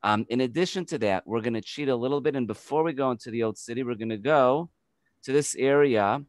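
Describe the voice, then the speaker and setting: A man in his forties with an American accent, a tour guide giving a virtual tour over a video call on a headset, talking quickly with frequent restarts. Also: medium pitch, no room sound, studio quality. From United States. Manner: fast, energetic, repeats words when restarting. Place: home by an open window.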